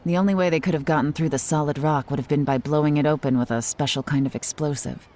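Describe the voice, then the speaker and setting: A woman playing a cool, composed intelligence chief in a video game, terse, measured and authoritative. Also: sits low, fairly even intonation, dark tone, lightly acted. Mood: measured, analytical.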